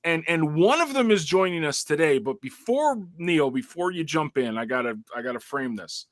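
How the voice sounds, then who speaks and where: A man hosting a talk show, telling a story in an energetic way and often restarting his words. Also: on the high side, moderate pace, no room sound, studio quality. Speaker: show host. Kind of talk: casual storytelling chat.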